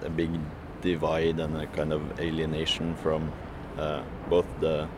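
A man's voice: rumbling low, completely flat delivery, measured pace, background noise. A man who works as a food courier, answering interview questions hesitantly with many fillers and restarts.

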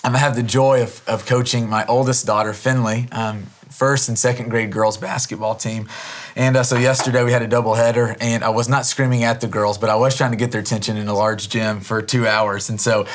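Hoarse thirties male pastor, conversational sermon delivery, mid-pitched, earnest and loose. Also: level tone, fairly quick. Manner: earnest, conversational, frequent restarts and repeated words.

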